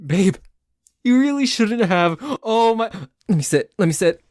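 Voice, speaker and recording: Man voicing a clingy yandere boyfriend, slow-paced, theatrical, possessive and playful, intimate ASMR roleplay, very dry close recording. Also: sits high, lively intonation, dry recording. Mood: gushing, mock surprise. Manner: theatrical, swinging between gushing and soft menace.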